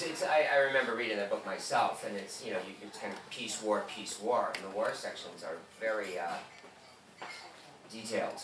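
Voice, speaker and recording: A man moderating a bookstore conversation, asking long rambling questions full of fillers. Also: lowish voice. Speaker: event moderator. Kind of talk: conversational interview. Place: bookstore event room. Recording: echoey room, distant mic, background noise.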